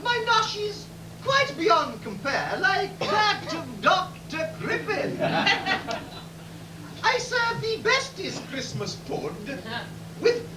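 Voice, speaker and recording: Woman playing a saucy panto cook, very high voice, very slow theatrical patter, distant echoey crowded room. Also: very animated, very noisy, exaggerated voice. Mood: gushing, saucy. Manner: theatrical, luvvie-style gushing, drawn out. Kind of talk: amateur pantomime comic patter.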